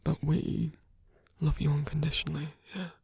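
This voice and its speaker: A performer in an intimate ASMR roleplay, voicing a gentle, protective supernatural lover with a husky, breathy voice and a hesitant, earnest delivery. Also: mid-range voice, level tone, normal speed, dry recording. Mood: earnest, devoted.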